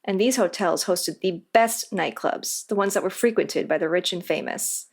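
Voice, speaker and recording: A woman's voice: lowish voice, moderate pace, slightly rough. A woman answering as an interview guest, in a scripted but conversational explanatory style.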